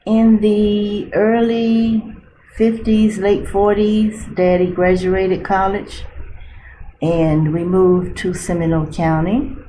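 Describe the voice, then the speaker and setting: A woman in her seventies from Florida, recalling her early life in an oral history interview, slowly and thoughtfully, pausing to search for names. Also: mid-range voice, flat delivery, warm tone, slight background noise, low-quality recording. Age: 70s. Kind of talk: reminiscing interview. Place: library room.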